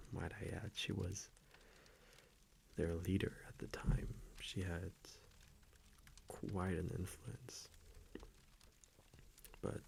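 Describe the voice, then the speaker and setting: A man playing a gentle, confiding vampire boyfriend in an intimate ASMR roleplay, speaking hushed and hesitant and often slipping into a whisper. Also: sits low, gravelly, a little hiss or hum, heavily acted. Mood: sheepish, confiding.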